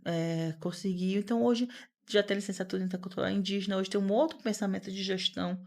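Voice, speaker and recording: An earnest woman in her thirties, speaking in the middle of her pitch range. She talks in a lively, conversational way as an interview guest, circling back with repeated words and fillers as she builds her points. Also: fast talker, creaky. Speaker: podcast guest.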